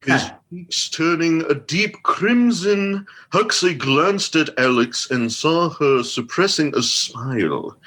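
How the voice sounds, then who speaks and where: Man playing a pompous gentleman storyteller, swooping voice. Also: a little high, animated, takes it slowly, few pauses, heavily acted, low-quality recording. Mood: grave storytelling. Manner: grandiose, theatrical, florid delivery. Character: pompous, vain gentleman storyteller with an absurdly long title. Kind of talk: scripted comedic radio-theatre storytelling.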